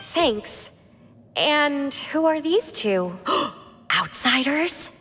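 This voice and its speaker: A woman's voice: high voice, very animated, extremely slow, fairly bright tone, a little hiss or hum, full character voice. A woman voicing an aloof, blunt, sardonic young woman in a video game. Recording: game music behind.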